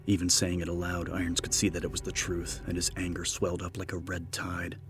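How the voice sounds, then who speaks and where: A man with a very deep voice narrates horror fiction. His delivery is grave, measured and level in pitch. Music plays clearly behind him. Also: monotone. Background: prominent background music.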